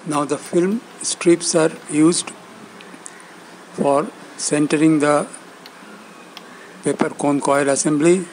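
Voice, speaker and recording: A man gives step-by-step instructional narration in a slightly high voice. He speaks very slowly, in short phrases broken by pauses. A steady hum and buzz sits behind his words. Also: low-quality recording. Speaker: instructor demonstrating loudspeaker assembly.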